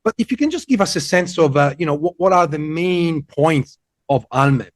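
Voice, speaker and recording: A man hosting a podcast, reading a scripted introduction with deliberate, dramatic pacing, then asking the guest questions. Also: a little high, lively intonation, dry recording, studio quality. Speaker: podcast host.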